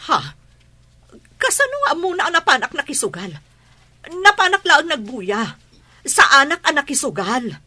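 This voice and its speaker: A woman's voice: high voice, sing-song, moderate pace, pauses often, bright, forceful tone, full character voice. An actress playing a defensive middle-aged mother hooked on gambling, in a radio drama. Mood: indignant, evasive.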